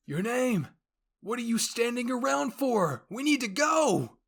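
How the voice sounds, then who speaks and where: Here is an alarmed young soldier as a narrator acts him out in an anime fan story. He pushes his voice high and loud, barking with urgency at a comrade. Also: sing-song, a little slow, creaky, exaggerated voice. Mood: urgent, shouting. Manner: urgent, loud, pitched-up shouting.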